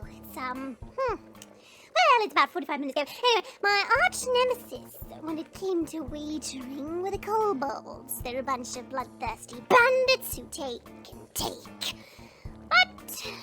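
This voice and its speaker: A sly little goblin witch in a fantasy audio drama. The voice sits very high and swoops around with theatrical coyness, taking its time over words. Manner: theatrical, drawn-out, coy delivery. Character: sly, theatrical female goblin witch, weepy when slighted. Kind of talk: fantasy audio roleplay acting.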